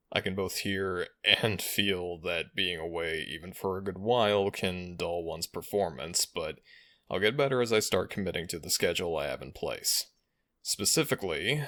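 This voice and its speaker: Deep, gravelly-voiced male audio creator. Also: fairly bright tone, dry recording, clean studio sound. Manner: earnest, measured, formal wording. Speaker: audio roleplay creator, host. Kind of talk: addressing followers, channel update and shoutouts.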